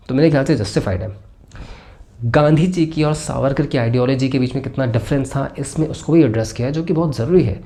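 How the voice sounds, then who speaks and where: A man's voice: mid-range voice, warm tone. A man with an Indian accent hosts a conversational film review, explaining his points and mixing in English phrases.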